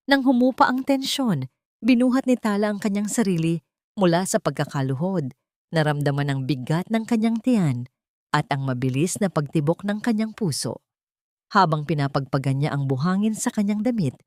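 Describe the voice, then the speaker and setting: A woman's voice: lowish voice, darkish tone, dry recording, studio quality. A woman narrating a scripted story in a dramatic storytelling style.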